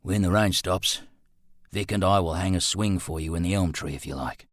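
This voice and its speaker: A man's voice: low voice, normal speed, fairly bright tone, no room sound, studio quality. A man voicing a polite, hesitant working man who speaks deferentially to his master in an audiobook. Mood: kindly.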